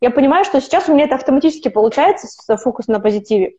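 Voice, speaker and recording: Rambling woman in her twenties, mellow voice. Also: high-pitched, animated, racing. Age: 20s. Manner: rambling, frequent restarts and self-corrections. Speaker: podcast guest, opera student. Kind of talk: casual conversational interview. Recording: dull lo-fi recording.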